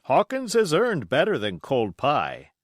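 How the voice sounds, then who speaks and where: A man's voice: on the high side, wildly swooping pitch, a little slow, no echo, studio quality, voice pushed up. A man voicing a bluff, hot-headed country squire in an audiobook, exclamatory, grand and condescending. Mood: stately, hearty.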